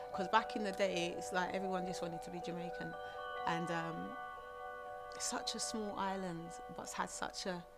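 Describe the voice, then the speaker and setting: A woman, talking about her heritage in a documentary interview. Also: fairly low pitch. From South London. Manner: chatty, many fillers like "like" and "um". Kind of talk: personal testimony.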